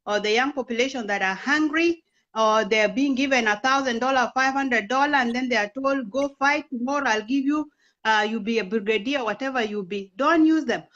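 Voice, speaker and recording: A woman's voice: fairly high pitch, a little fast, fairly bright tone, highs cut off. A woman in her thirties who grew up in Kenya, speaking as an interview guest in an earnest, persuasive way and asking rhetorical questions. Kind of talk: impassioned political talk.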